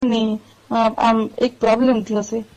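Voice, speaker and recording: A woman playing a worried middle-aged aunty who clings to a secret lover, with a Gujarati accent and a halting, pleading manner in a staged phone call. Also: on the high side, level tone, a little mellow. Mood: uneasy confiding. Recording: dull lo-fi phone call recording.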